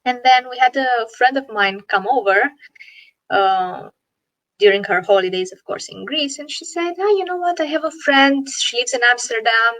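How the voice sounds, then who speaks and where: Woman, Romanian accent, higher voice, reflective, dry close sound, video call. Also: lively intonation, moderate pace, fairly bright tone, dry recording, rough recording. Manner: reflective, with many "uh" and "you know". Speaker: guest, NLP coach and founder. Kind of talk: online interview, personal story.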